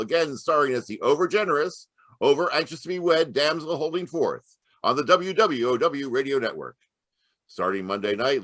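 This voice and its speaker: Guy doing showy old radio announcer, super lively swoopy delivery, dry close sound. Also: mid-range voice, no echo. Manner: theatrical, promotional. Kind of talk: 1940s-style radio announcing. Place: close dry sound, remote live performance.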